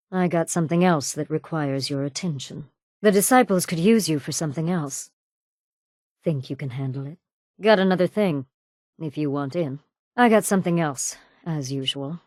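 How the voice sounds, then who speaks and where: A woman's voice: low-pitched, a little mellow, dry recording, studio quality, close to an everyday voice. A woman voicing a ruthless raider gang leader in a video game, sardonic, commanding and menacing. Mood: cool, businesslike. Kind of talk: video game character dialogue.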